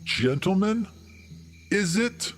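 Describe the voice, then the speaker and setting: A man voicing a towering female centaur in a tabletop game, stern and intimidating, speaking with deliberate, menacing politeness. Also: high voice, animated, faint music behind, pitched above the performer's own voice. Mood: coolly polite.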